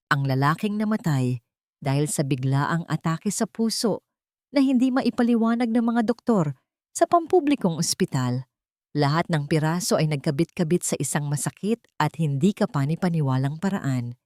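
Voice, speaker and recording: A woman narrating a scripted audio drama in a steady, solemn storytelling manner. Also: fairly low pitch, no echo, clean studio sound.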